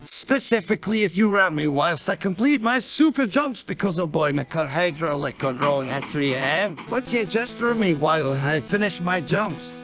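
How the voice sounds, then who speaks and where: A man's voice: on the high side, expressive, normal speed, hardly any pauses. A man hosting a video game tutorial, narrating in a playful, exaggerated style full of internet slang, with music behind him. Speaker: gaming tutorial host.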